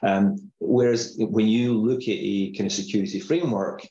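A middle-aged man with a Scottish accent, explaining things in a measured way as a guest on a podcast interview. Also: on the low side, steady tone, warm tone, roomy sound, dull audio. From Scotland. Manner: measured, explanatory, uses filler phrases. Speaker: company founder guest.

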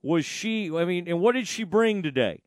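A man's voice: sits high, animated, normal speed, no room sound, clean studio sound. A man hosting a radio talk show, chatty and asking loose, rambling questions. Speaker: radio host. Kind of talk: casual radio chat.

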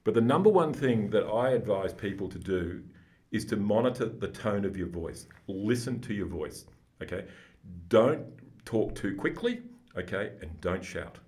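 A man with a booming voice, speaking as a psychologist guest, explaining things slowly and deliberately. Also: animated, darkish tone. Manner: slow, deliberate, explanatory, with restarts. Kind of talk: calm, measured podcast conversation.

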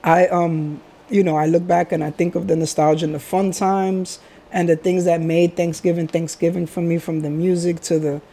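A man's voice: on the high side, level tone, normal speed, mellow. A middle-aged man from the Bronx hosting a podcast, talking in a reflective, rambling way and laughing often. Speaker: podcast host. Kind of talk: casual podcast chat.

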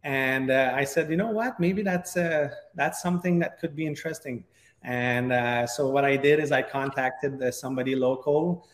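A middle-aged man from Quebec with a French Canadian accent, a native French speaker, tells his story in a conversational interview with halting phrasing. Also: mid-range voice. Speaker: podcast guest, real estate agent. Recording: echoey, reverberant room.

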